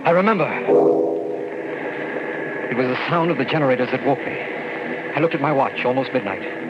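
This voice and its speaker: An actor playing an earnest young army lieutenant and scientist who recounts events dramatically in an old-time radio drama. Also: male voice, mid-range voice, animated, brisk, natural voice. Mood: haunted, suspenseful. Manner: earnest, dramatic, suspenseful storytelling. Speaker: narrator and lead character. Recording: muffled vintage radio recording, loud music.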